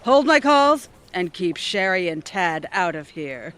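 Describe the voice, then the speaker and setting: A woman's voice: fairly low pitch, very animated, unhurried, a bit bright, smooth. A woman acting a gloating, scheming, imperious boss in a comedic audio drama.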